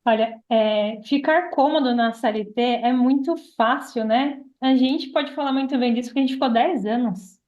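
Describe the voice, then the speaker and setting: A Brazilian woman around thirty with a fairly high voice, recorded in a roomy, echoing space. She tells her own story conversationally, as a podcast guest. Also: moderate pace, hardly any pauses, a little mellow, lo-fi sound. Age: about 30. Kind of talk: casual personal storytelling.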